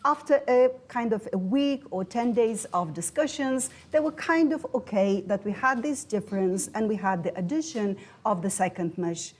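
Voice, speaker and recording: A woman who is a native Turkish speaker, with a Turkish accent, giving a measured academic talk at a conference and often restarting words and names. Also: medium pitch. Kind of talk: academic lecture. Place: conference hall.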